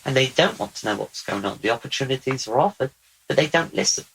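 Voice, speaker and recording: A man channeling a measured otherworldly being. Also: mid-range voice, lively intonation, creaky, a bit bright, slight background noise, rough recording. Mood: earnest. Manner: slow, deliberate and repetitive. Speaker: channeled speaker. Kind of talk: channeled spiritual talk.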